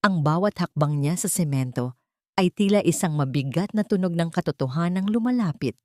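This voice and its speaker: Low-pitched woman narrating a dramatic story. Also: lively intonation, brisk, a little mellow, dry recording, studio quality. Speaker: audiobook narrator. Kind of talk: scripted dramatic first-person story narration.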